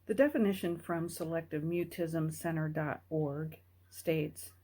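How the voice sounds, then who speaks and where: Earnest woman with a mellow low voice. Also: fairly even intonation, measured pace. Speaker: host. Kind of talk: personal talk to camera.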